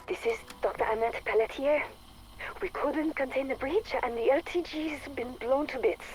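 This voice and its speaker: An actress playing a bossy, bitter scientist recording an audio log for a game, with a dry, blunt, exasperated manner. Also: female voice, sits high, moderate pace, darkish tone, faint music behind, lightly acted. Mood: grim, resigned. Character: bossy, bitter, sardonic female scientist doctor. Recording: noisy audio log tape.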